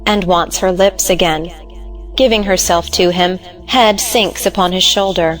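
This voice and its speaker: A woman's voice: lowish voice, fairly even intonation, measured pace, faint music behind, rough recording. A woman giving scripted narration, reading out the stage directions of a play.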